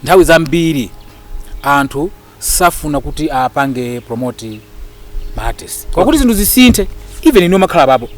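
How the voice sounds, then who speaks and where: A man's voice: fairly high pitch, animated, moderate pace. A Malawian man speaking emphatically and argumentatively as an interview guest, repeating phrases for stress and mixing two languages. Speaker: interview guest, actor and lecturer. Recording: faint background noise.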